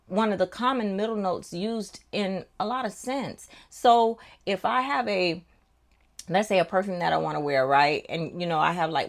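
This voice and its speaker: Woman, middle-aged, low-pitched voice slightly under the weather, chatty vlog host, folksy, casual. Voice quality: naturally deep voice. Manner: chatty, folksy, addresses viewers as honey. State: voice affected by recent illness. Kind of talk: casual chat to viewers about perfume.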